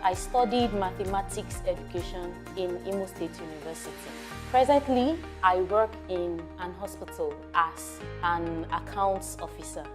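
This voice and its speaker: A woman in her twenties introducing herself at a workshop, lively and emphatic. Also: fairly low pitch, normal speed. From Nigeria. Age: 20s. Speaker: workshop participant. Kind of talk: self-introduction. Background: background music.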